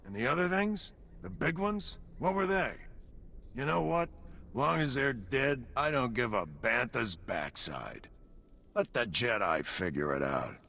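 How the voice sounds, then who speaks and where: A gravelly, wisecracking soldier. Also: male voice, medium pitch, sing-song, measured pace, sharp tone, exaggerated voice. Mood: dismissive. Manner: sardonic, slangy. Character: gruff, wisecracking, jaded male soldier. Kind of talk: video game cutscene acting. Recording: faint background ambience noise.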